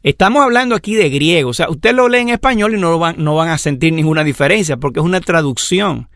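Male Bible teacher, slightly raised pitch with some fry, patient explanatory lecture delivery. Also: expressive, a bit bright, dry recording, studio quality. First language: Spanish. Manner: measured, explanatory, lists examples. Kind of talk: lecture on scripture.

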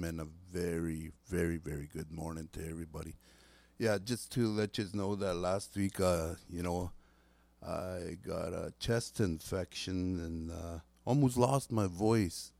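A man's voice: deep voice, creaky, darkish tone. A man hosting a casual morning radio show, rambling loosely through the weather and community announcements and slipping between two languages. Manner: reads announcements aloud haltingly.

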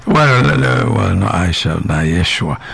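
A man hosting a health interview in a relaxed, chatty way with asides. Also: lowish voice, a little slow, creaky, breathy edge, faint music behind. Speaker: radio show host. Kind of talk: casual radio interviewing.

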